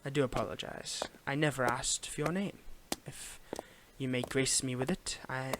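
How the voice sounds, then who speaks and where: Clear background noise sits under a man acting out a gentlemanly Victorian footman in an intimate audio roleplay. His voice is mid-pitched and gentle, sometimes sinking toward a whisper. He speaks with halting, formal politeness, weary yet flirtatious. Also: steady tone, natural voice. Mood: courteous, smitten. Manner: formal old-fashioned phrasing, gentle, halting, lapsing into whispers.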